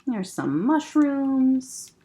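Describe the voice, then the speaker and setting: A children's librarian reads a picture book aloud, slow and gentle, stopping to point out things in the pictures. Her fairly high voice is lively, swooping up and down with expression. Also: slight background noise.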